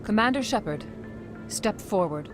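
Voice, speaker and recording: An actress playing a composed alien councillor in a video game, formal and calmly authoritative. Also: female voice, medium pitch, unhurried, natural voice. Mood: solemn, official. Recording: loud music behind dialogue. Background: music.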